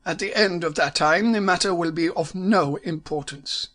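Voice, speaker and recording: A man's voice: high-pitched, moderate pace, fairly bright tone, pitched above the performer's own voice. A man voicing a masked Bohemian nobleman who is formal and commanding, with a German accent. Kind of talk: audiobook character voice.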